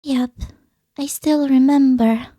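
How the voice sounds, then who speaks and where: A woman voicing a gentle young girl who solemnly retells a fairy tale in an amateur game fan dub, hushed and measured. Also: high-pitched, steady tone, no echo. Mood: solemn, wistful.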